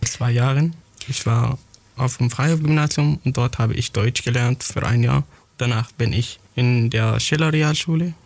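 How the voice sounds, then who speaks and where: Gravelly teenage boy in learner German. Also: medium pitch. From Syria. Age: teens. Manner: halting, searching for words, non-native grammar. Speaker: young student writer interviewed. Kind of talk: interview answers in learner German.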